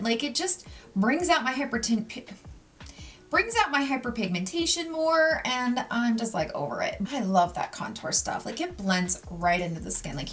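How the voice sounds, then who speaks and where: A woman in her forties chatting while she does her makeup at home, her voice pitched a touch high and swinging up and down a lot. She tells gossipy stories in a casual, animated way, sprinkling in plenty of "like"s. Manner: gossipy, animated storytelling with many "like"s. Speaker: beauty vlogger. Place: home, sitting at a makeup table.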